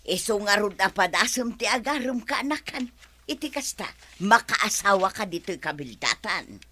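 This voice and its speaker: A woman's voice: medium pitch, expressive, moderate pace, pushed and bright, a little hiss or hum. A woman playing a nagging, teasing village auntie in a comedy radio drama, with an Ilocano accent.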